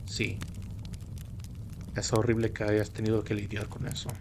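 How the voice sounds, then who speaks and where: A man playing a suave, playful mafia boss in an audio roleplay drama, with a teasing, smooth manner. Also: lowish voice, monotone, moderate pace, a little mellow, a little hiss or hum, lightly acted. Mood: relaxed, fond. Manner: teasing, smooth, code-switches into Spanish.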